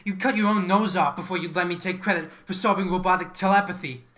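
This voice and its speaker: A man's voice: high voice, quick pace, fairly bright tone, slight background noise. A man acting as an ambitious, resentful mathematician in a radio play. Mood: triumphant, combative. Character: ambitious, resentful male mathematician scientist eager for promotion.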